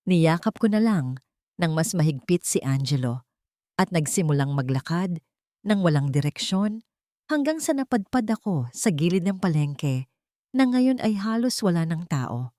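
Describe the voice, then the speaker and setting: A woman's voice: low-pitched, dark tone, no room sound, clean studio sound. A woman reading a dramatic first-person story as an audiobook narrator, in an emotional, heartfelt way.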